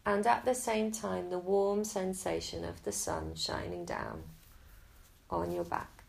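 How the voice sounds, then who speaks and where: A woman guiding a Yoga Nidra relaxation in a slow, soft and soothing way. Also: on the low side, very animated, creaky, low-quality recording. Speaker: meditation guide.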